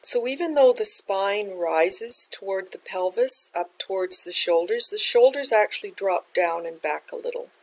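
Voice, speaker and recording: A woman guides a meditation in a mid-range voice. Her delivery is gentle and measured, like a teacher giving calm, step-by-step instruction. She takes her time with each phrase. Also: mid-range voice, vocal fry, rough recording.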